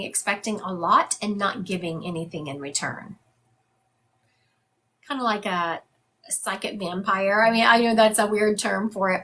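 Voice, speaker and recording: A woman's voice: medium pitch, a bit bright, slight background noise. A woman giving a live psychic medium reading for viewers, chatty and conversational, laughing often.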